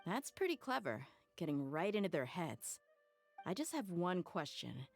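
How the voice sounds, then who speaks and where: Confident young female game character, low voice, animated wry delivery, faint music behind. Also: moderate pace. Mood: wry, impressed. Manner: casual, wry. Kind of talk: video game voice acting.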